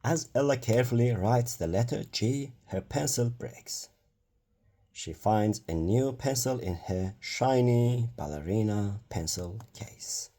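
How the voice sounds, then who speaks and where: A man's voice: medium pitch, lively intonation, measured pace, dark tone. A man reading a children's bedtime story aloud in a careful manner, stumbling now and then over words.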